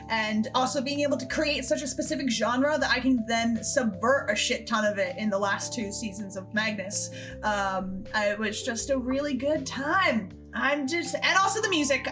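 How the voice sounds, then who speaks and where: Woman, higher-pitched voice, casual Q&A host, enthusiastic, effusive, faint music behind. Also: a bit bright. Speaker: host and creator of a fan series. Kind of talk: casual chat in a group Q&A. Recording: background music under the voice.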